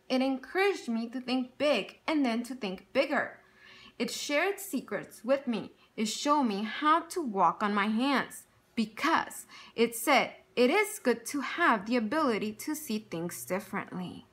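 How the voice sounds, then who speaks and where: Lively high-voiced woman reading aloud. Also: unhurried. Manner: expressive storytelling with questions for young listeners. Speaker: teacher. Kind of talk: reading a picture book aloud to children.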